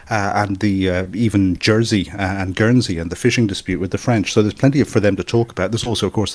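A man's voice: low voice, no room sound. A man reporting as a correspondent, explaining with many hesitations. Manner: hesitant, filler-heavy, explanatory. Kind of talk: radio news report.

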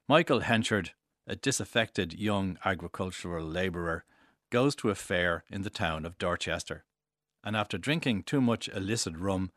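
A man from Ireland reads his literary essay with wry composure. His voice is very deep, and the recording is dry and close. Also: a bit bright, no echo. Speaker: essayist reading his own piece. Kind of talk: scripted literary reading.

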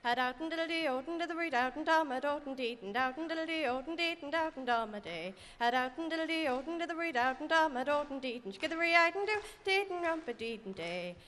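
A woman voicing a young girl lilting a reel in high, bouncy wordless syllables on a stage. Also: expressive, fast, hardly any pauses, fairly bright tone. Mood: lively. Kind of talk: lilting, wordless diddling song. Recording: live stage with long reverb and noise.

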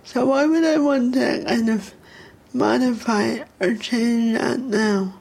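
A woman's voice: a little high, vocal fry, a little mellow. An Irish woman with a speech impediment, speaking about her own voice in a personal reflection. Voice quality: speech impediment, slow effortful speech.

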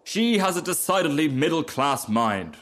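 A man voicing a haughty, self-important firework rocket in a storybook, making pompous, drawn-out pronouncements. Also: on the high side, sing-song, fairly bright tone, pitched above the performer's own voice. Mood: smug. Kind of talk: storybook character reading.

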